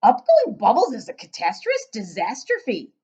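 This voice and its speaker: A woman voicing a big friendly old giant in a children's storybook, booming, emphatic and muddling words. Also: wildly swooping pitch, mellow, breathy edge, muffled recording, full character voice. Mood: exasperated. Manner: booming, emphatic, drawn-out exclamations. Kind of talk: children's storybook read-aloud.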